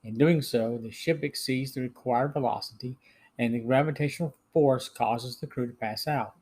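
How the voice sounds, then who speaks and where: A male podcast host reads prepared narration at a middling pitch. His delivery is measured and a little halting, as he works through a plot summary. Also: darkish tone, slightly rough.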